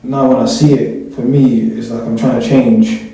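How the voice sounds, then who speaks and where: A man with a London accent talks casually and colloquially, with frequent check-in tags. His voice is very dark and soft-edged, heard slightly off mic in a heavily echoing room. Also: mid-range voice, little pitch movement, moderate pace. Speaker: discussion panel member. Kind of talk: casual opinion chat. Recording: very echoey room, distant mic.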